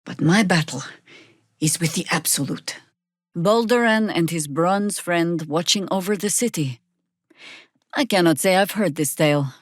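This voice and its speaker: Older warrior druid lady, stern n wry, video game style, lower voice, no room sound. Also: no room sound, clean studio sound. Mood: grave, measured. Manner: measured, wry, commanding. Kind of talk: fantasy video game voice acting.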